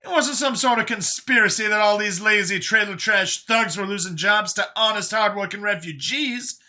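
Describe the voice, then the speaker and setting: A man's voice: high voice, moderate pace, pushed and bright, voice pushed up. A man voicing a hostile, indignant man in a story, ranting accusingly and emphatically. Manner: agitated, emphatic, foul-mouthed ranting.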